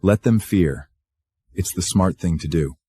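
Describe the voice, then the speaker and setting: A man with a husky voice acting a calm, menacing underworld figure in a drama. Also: low voice, measured pace, no echo, full character voice.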